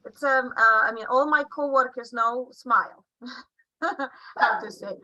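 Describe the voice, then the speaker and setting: A woman whose first language is Russian leads a lesson over a video call, taking her time with each phrase. Her voice is bright and slightly high, and the sound is dry and close. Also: no echo, low-quality recording. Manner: slow, patient coaching with laughs. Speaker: physician teaching stroke exam phrases. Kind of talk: online teaching session. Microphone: computer mic on a video call.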